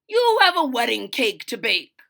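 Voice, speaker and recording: A woman voicing a bossy, dismissive village baker and father in a storybook, giving orders in an emphatic, drawn-out way. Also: a little high, huge pitch swings, gravelly, bright, forceful tone, full character voice.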